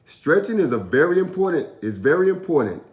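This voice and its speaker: Man playing an encouraging, lively-voiced storybook dad. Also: on the high side, fairly quick, voice pushed up. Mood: reassuring. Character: caring, encouraging dad of a young boy. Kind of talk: children's storybook character voice.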